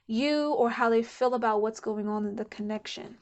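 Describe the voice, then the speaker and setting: A woman's voice: fairly high pitch, moderate pace, muffled recording. A woman giving a casual pick-a-card tarot reading to camera, chatty and candid, thinking aloud as she goes. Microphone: camera mic.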